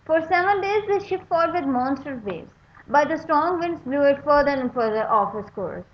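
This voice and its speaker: High-voiced woman reading a children's story. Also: expressive, moderate pace. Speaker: read-aloud storyteller, channel host. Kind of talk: children's book read-aloud with asides on pictures. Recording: dull lo-fi sound, faint background noise.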